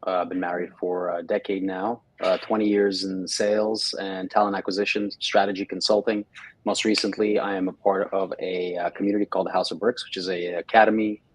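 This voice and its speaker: Low-voiced man, flat delivery. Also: low-quality recording. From Russia. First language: Russian. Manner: halting, many fillers and restarts. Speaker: guest. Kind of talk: casual interview chat. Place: home studio, live stream.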